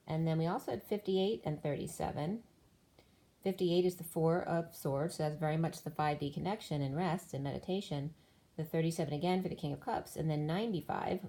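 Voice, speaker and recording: A woman's voice: sits low, brisk, warm tone. A woman giving a solo spiritual tarot reading, explaining cards and numbers one by one while losing her voice. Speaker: tarot reader.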